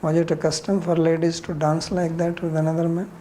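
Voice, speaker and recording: A man's voice: a little high, completely flat delivery, a little fast, mellow, slight background noise. A man with an Indian accent leading an informal class discussion as a spiritual teacher, answering questions bluntly and challengingly with rhetorical questions.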